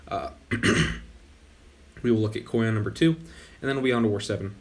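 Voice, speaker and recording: A man's voice: lowish voice, normal speed. A young man hosting his gaming channel, talking casually over gameplay while losing his voice, often stopping to correct himself. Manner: relaxed, rambling with frequent self-corrections. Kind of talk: casual game commentary.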